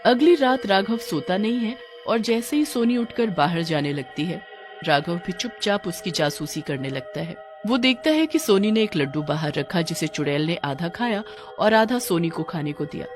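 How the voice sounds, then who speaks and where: A storyteller delivers scripted horror narration in a dramatic style. The intonation is lively and varied, and music plays clearly behind the voice. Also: mid-range voice.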